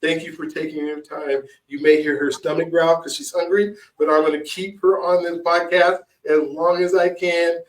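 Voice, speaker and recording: Distant-sounding, higher-voiced man hosting a podcast. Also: flat delivery, a little hiss or hum. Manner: halting, repetitive, drawn-out phrasing. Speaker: podcast host. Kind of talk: casual interview introduction.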